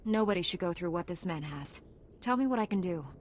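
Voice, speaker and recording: A woman playing a calm, assured heroic knight in a video game, speaking formally. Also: fairly low pitch, brisk, slight background noise, close to an everyday voice. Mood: calm, resolute. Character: calm, resolute heroic female Jedi knight.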